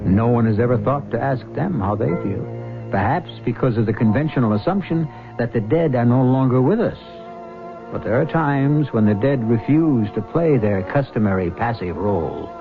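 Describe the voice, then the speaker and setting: A man narrating as the wry, ominous host of a radio ghost story, in a theatrical, measured style. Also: mid-range voice, lively intonation, close to an everyday voice. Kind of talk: scripted radio mystery narration. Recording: dull old radio recording. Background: music.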